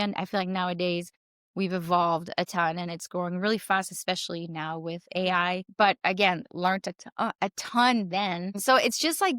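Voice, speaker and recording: A woman's voice: medium pitch, a bit bright, smooth, no room sound, studio quality. Chatty French Canadian woman in her 40s. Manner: chatty and rambling, with many fillers and "like"s. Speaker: guest, circus performer and realtor. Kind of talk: casual interview chat.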